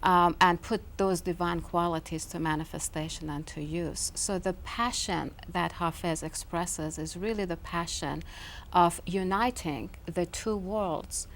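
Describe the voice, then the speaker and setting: A woman from Iran, speaking as a guest in a television studio interview, soft-spoken and thoughtful, pausing often with "um". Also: lowish voice, slight background noise. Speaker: guest, author. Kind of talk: interview conversation.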